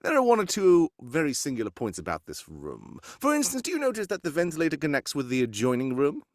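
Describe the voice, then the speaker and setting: An actor playing a shrewd, inquisitive gentleman detective in a live 1940s-style radio mystery, theatrical and given to wry asides. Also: fairly high pitch, wildly swooping pitch, quick pace, no echo, studio quality. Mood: shrewd, commanding.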